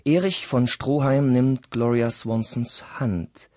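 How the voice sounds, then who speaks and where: A man narrates a radio play in a deliberate, dramatic way. His voice sits at a medium pitch and he takes his time over the words. The recording is dry, with hardly any room around it. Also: dark tone, no echo.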